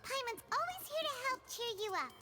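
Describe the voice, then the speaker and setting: A woman voicing a tiny, excitable, loyal fairy girl companion in a video game, with a squeaky childlike voice and chirpy manner. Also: brisk, over loud music. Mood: perky, cheering. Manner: speaks of herself in the third person. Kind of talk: English video game voice acting.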